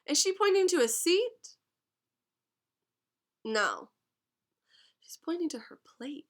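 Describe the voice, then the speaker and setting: A young woman teaching a class, reading a picture book aloud and pausing to explain words and ask questions. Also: very high voice, very animated. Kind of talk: read-aloud storytime lesson.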